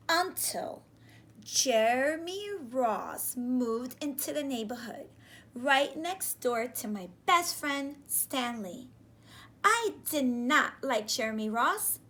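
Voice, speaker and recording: A high-voiced woman reads a picture book to young children as their teacher would. Her delivery is slow and very animated, and she pauses to put questions to her listeners. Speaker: teacher reading aloud to pupils. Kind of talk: children's storybook read-aloud. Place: small furnished room indoors, seated on sofa.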